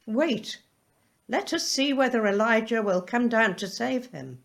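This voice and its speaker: A woman playing a taunting passer-by, loud and declamatory, in a dramatised scripture reading. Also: a little high, expressive, unhurried, gravelly. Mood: mocking curiosity.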